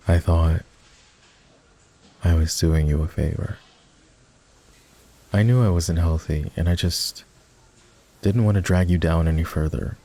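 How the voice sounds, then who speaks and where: A man playing a regretful, tender ex-boyfriend turned boss in an intimate ASMR roleplay, speaking softly and hesitantly with a husky, gravelly voice. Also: extremely low pitch, full character voice. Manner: soft, hesitant, confessional.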